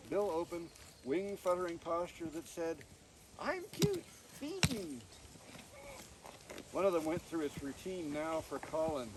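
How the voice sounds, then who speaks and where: A man reading his storybook aloud to listeners outdoors, with expressive telling and chatty asides. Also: fairly high pitch. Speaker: author reading from own book. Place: outdoors on a mountain. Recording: distant mic, noisy outdoor recording.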